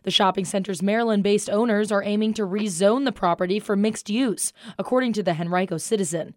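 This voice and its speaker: A woman's voice: mid-range voice, brisk, no room sound. Female American newscast anchor, mid-range voice, scripted delivery, very dry room. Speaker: news anchor.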